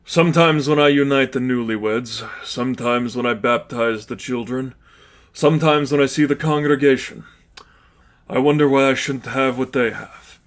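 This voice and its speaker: Man as old priest, solemn, measured, weary, calm but firm, audiobook character. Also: mid-range voice, close to an everyday voice. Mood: solemn, wistful.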